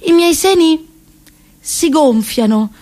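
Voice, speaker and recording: A woman acts out a naive young heroine of a steamy romance novel. The voice is very high, breathlessly melodramatic and overwrought, swooning through every phrase as parody. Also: expressive, slow pace, warm tone.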